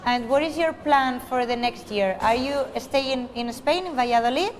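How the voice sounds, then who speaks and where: A woman reporter interviewing people on location, asking friendly, brisk questions. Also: high-pitched, faint music behind.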